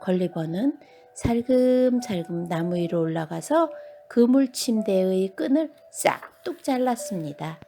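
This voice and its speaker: A woman reads a children's bedtime story with very lively intonation. Her voice has a creaky edge and often sinks to a dramatic hush, over faint background music. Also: medium pitch, a little slow.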